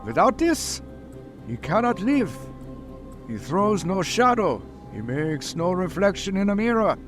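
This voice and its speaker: An actor playing a grave, resolute professor who leads vampire hunters, declaiming in a vintage radio drama style. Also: male voice, sits high, big pitch swings, over music. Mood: grave, portentous. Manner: declamatory, portentous, measured phrasing.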